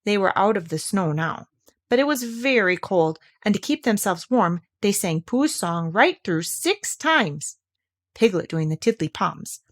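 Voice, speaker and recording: A woman reading a children's storybook aloud as an expressive narrator. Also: medium pitch. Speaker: storybook reader.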